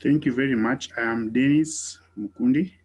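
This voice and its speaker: A man from Kenya, a webinar participant speaking in a measured, deliberate way. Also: medium pitch, darkish tone, breathy edge. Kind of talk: spontaneous remarks in a webinar. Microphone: video call.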